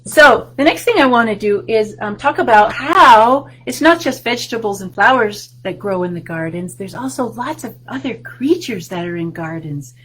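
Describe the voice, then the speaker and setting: A woman in her sixties, a children's librarian, reading a picture book and showing plants to young children over a webcam from a room at home, in a sing-song voice with excited rises. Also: a little high, slightly rough, rough recording. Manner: warm, sing-song and slow, with big excited rises for kids. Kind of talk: storytime reading and show-and-tell for children. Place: home room with bookshelves, indoors. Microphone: webcam video call.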